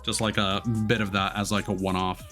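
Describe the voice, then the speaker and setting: A man's voice: sits low, level tone, brisk, pushed and bright, soft background music. A man doing casual live gaming commentary, thinking aloud and chatting to his viewers. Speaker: livestreamer, gamer.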